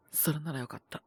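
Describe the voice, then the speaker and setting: A man voicing a possessive, teasing young half-human wolf man with a cold, in an intimate romantic audio roleplay, breathy and rough-spoken. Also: dry recording, studio quality, natural voice. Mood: languid, tender. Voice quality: husky, breathy, often near a whisper. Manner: languid, rough casual speech, teasing. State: sick with a cold, coughing (acted).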